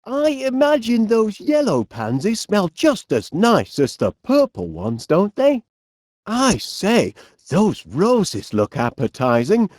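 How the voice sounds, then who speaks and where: A bumbly northern english inventor bloke for a cartoony game, polite n flustered, slow talker, pitch goes all over. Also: male voice, wildly swooping pitch, a little mellow, dry recording, studio quality, heavily acted. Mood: chatty, musing. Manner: hesitant, stammering politeness with quaint exclamations. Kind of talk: animated comedy game voice acting.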